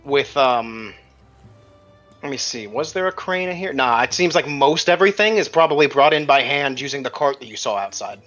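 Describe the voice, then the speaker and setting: A man's voice: mid-range voice, lively intonation, moderate pace, fairly bright tone, muffled recording. A man speaking casually as game master, improvising descriptions for players in a tabletop game. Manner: casual, conversational. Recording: band-limited audio with music underneath. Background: music.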